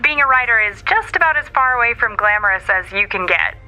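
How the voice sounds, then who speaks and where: A friendly, wry woman writer played by an actress gives a conversational interview over a thin video call. Her voice is fairly high and very bright, with faint music behind it. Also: lively intonation, fast talker, low-quality recording. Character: friendly, wry female TV writer calling in remotely. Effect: thin video-call filter.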